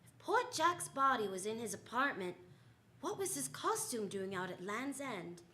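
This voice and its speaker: A woman's voice: a little high, big pitch swings, moderate pace, bright, forceful tone, slight background noise. A woman playing a wisecracking female private detective in a 1940s-style radio drama, with slangy, wry delivery. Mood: wry, narrating.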